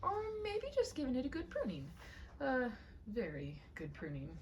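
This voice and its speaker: A woman's voice: fairly high pitch, wildly swooping pitch, moderate pace, darkish tone, a little hiss or hum, pitched above the performer's own voice. A woman voicing a mild, thoughtful, optimistic shopkeeper wife who speaks hesitantly in a comic story. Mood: hopeful.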